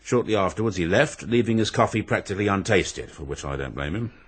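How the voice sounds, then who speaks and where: An actor playing a thoughtful police inspector, measured and dryly humorous, in a radio drama. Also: male voice, low-pitched, slightly rough.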